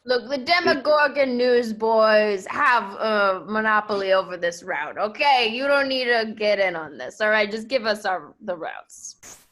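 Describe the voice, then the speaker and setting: A woman's voice: medium pitch, lively intonation, unhurried, a bit bright. A woman playing a brash, aggrieved monster newsboy in an improvised comedy sketch, blustering and emphatic. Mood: defiant.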